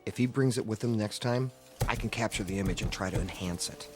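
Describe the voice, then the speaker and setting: An actor playing a practical, matter-of-fact technician in a naturalistic radio drama. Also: male voice, lowish voice, brisk, faint music behind, lightly acted.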